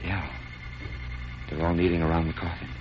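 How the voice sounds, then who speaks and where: A man's voice: deep voice, little pitch movement, moderate pace, over music, natural voice. A man playing a comically nervous, hesitant, wisecracking sidekick in a 1940s radio drama. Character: jittery, wisecracking, cowardly male sidekick. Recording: muffled, noisy 1940s radio recording.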